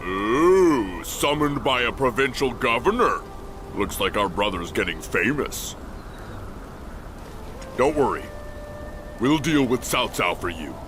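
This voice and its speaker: An actor playing a cocky, blunt warrior in a video game, with an informal manner. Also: male voice, mid-range voice, very animated, slow pace, long pauses, noisy background. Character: brash, cocky warrior sworn brother. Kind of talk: video game dramatic acting. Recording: voice under loud music.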